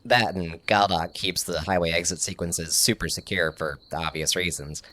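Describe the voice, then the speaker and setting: A man's voice: sits low, fairly even intonation, moderate pace, sharp tone. A man playing an eager, nerdy tech tinkerer in an audio comedy, explaining things in a casual, slightly awkward way. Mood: earnest explaining. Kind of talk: audio sitcom acting.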